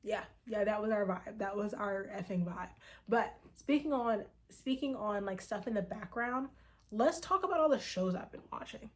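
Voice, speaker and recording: A woman's voice: mid-range voice, lively intonation, normal speed. A woman in her late twenties chatting casually to camera in a personal vlog, rambling and swearing freely, sometimes dropping into a whisper. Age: 20s. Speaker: vlogger.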